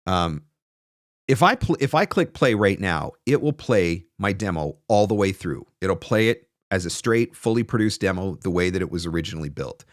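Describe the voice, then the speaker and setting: A man in his forties talks as a coach on a casual livestream. His voice sits low and moves with animated intonation as he repeats phrases for emphasis. It is recorded close and dry on a desk microphone in a small home studio. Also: moderate pace, no echo. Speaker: host and voice-over coach. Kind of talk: casual livestream advice, answering viewer questions. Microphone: close desk microphone on a stand.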